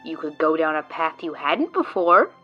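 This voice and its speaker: A woman's voice: deep voice, very animated, normal speed, lo-fi sound, faint music behind. A woman voicing a sarcastic, exasperated friend in an audio drama, prone to ranting. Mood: deadpan exasperated.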